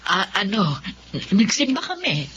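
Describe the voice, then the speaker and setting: A woman's voice: medium pitch, very animated, a little slow. Casting: actress as nervous middle-aged mother, audio drama, muffled with noticeable background noise. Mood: flustered. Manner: anxious, hesitant. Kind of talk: Filipino audio drama acting.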